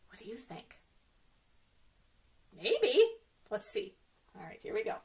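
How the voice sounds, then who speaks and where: A woman with a high, bright voice leads a story time for small children. Her delivery is very lively and sing-song, swinging widely in pitch. She talks directly to the kids and often turns to them with questions. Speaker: children's librarian reading stories to kids. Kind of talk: read-aloud story time for young children.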